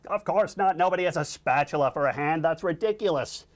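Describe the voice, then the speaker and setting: A man voicing a theatrical, irritable cartoon squid, loud and emphatic in delivery. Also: a little high, a little fast, pitched above the performer's own voice, close to an everyday voice. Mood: dismissive. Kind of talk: acted cartoon storyboard pitch.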